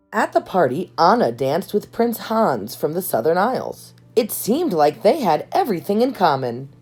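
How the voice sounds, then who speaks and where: A woman reading a children's storybook aloud in an expressive storytelling style, with measured, dramatic delivery. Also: sits low, husky. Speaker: storybook reader. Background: background music.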